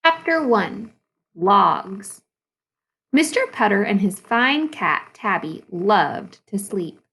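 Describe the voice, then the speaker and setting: Slow, lively woman reading to children. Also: mid-range voice. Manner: expressive, deliberate storytelling with dramatic pauses. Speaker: second-grade teacher. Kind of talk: storybook read-aloud for young children.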